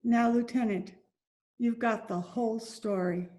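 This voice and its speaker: A woman playing a resigned but proud down-and-out mother in an old-time radio drama, speaking earnestly in short, halting phrases. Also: a little high, fairly even intonation, dark tone, close to an everyday voice.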